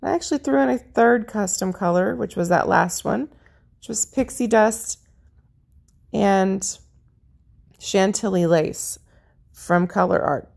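A woman narrating her paint pouring video in a chatty, friendly way, her voice a little raspy. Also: medium pitch, slow pace, pauses often, a little mellow. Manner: chatty, friendly, lists colors as she works. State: slightly raspy voice. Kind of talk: casual how-to narration over craft footage.

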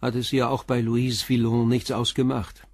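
An actor playing a calm police inspector who questions a suspect with short, probing questions in a radio play. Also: male voice, mid-range voice, normal speed, no echo, studio quality, natural voice.

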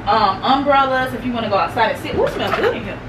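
A young woman giving a casual house tour vlog, chatting in an animated, slangy, gushing way. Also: on the high side, fast talker. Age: young adult. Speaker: vlogger. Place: indoors, rooms of a house. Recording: echoey rooms with clear background noise.

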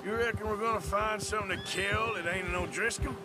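A man's voice: high voice, steady tone, quick pace, close to an everyday voice. A man playing a weary, wry outlaw gunslinger with an American accent and a folksy way of talking, in video game dialogue. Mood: weary, reflective. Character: rugged male outlaw gunslinger, plain-spoken, weary, wry. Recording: music behind the voice.

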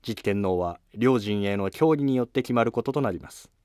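A man's voice: fairly low pitch, fairly quick, darkish tone, clean tone, dry recording, studio quality. A man reading scripted narration for a history drama as its narrator.

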